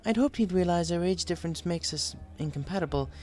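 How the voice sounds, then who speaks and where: A man's voice: sits high, a little fast, a little mellow, soft background music, pitched above the performer's own voice, close to an everyday voice. A man voicing a refined, melodramatic female pony seamstress in an audiobook, prim, genteel and given to wistful whining. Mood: wistful, hesitant. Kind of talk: audiobook character voice.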